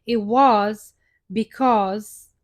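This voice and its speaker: A woman's voice: mid-range voice, steady tone, very slow. A young woman with an Italian accent, a native Italian speaker, telling her own story on a live stream in an earnest way, repeating and restarting phrases as she goes. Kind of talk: personal storytelling monologue.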